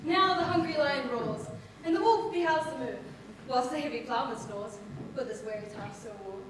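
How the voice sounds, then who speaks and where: A woman's voice: high-pitched, moderate pace, far from the mic, natural voice. A woman playing a mischievous fairy sprite, reciting rhymed Shakespearean stage verse with an incantatory lilt. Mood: eerie, incantatory. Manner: incantatory, lilting rhymed delivery. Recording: echoey hall from afar, noisy.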